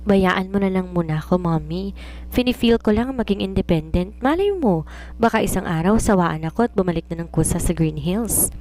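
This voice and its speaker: A woman's voice: lowish voice, expressive, fairly quick, darkish tone, a little hiss or hum, close to an everyday voice. An assured young woman writer in an audiobook romance, voiced by a woman narrator. She is chatty and teasing, reasons her way along and slips English phrases into her talk. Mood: coaxing, reasoning.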